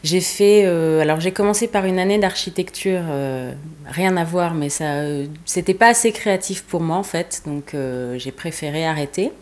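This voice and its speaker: A woman's voice: lowish voice, normal speed, darkish tone. A young woman from eastern France, a fashion designer being interviewed, who speaks casually with many hesitations and fillers.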